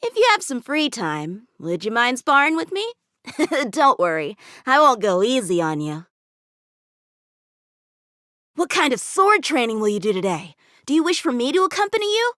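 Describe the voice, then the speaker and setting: A confident young boy lieutenant with a sword in a video game. The voice is high and youthful, with lively swings in pitch. The delivery is earnest and a little cocky, recorded dry and close. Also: moderate pace, no room sound, exaggerated voice. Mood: eager, confident. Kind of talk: video game character voice lines.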